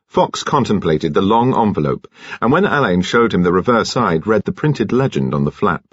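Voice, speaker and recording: A man's voice: lowish voice, big pitch swings, moderate pace, husky, no room sound, dull audio. A man narrating a scripted story as an audiobook reader.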